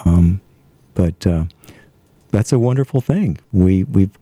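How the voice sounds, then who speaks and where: A man with a very deep, mellow voice, talking as a radio show guest. He muses in an easygoing, reflective way. The sound is dry and close to the mic. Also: expressive, slow, no echo. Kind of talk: conversational radio talk.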